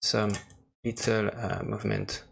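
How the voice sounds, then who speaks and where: Low, creaky-voiced male tutorial presenter, non-native English. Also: little pitch movement. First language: non-native English speaker. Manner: halting, with frequent pauses and hesitations. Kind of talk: informal software demo narration.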